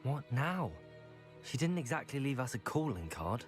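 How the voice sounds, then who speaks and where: An actor playing a polite, composed young student of magic in a video game. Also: male voice, mid-range voice, very animated, normal speed, faint music behind, natural voice. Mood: practical. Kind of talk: video game cutscene acting.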